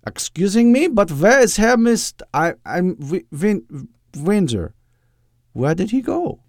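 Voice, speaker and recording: A man voicing an excitable, halting oddball with an exaggerated fake Austrian accent in an audiobook. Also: sits high, no echo, studio quality, voice pushed up, full character voice. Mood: flustered, eager. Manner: halting, bumbling, eager.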